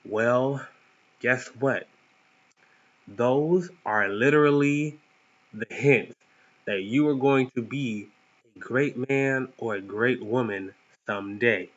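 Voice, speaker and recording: A man's voice: medium pitch, slow pace, long pauses. A young man with a lisp giving a playful, earnest motivational talk to viewers as a vlog host, with cocky bursts of emphasis. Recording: dull lo-fi sound.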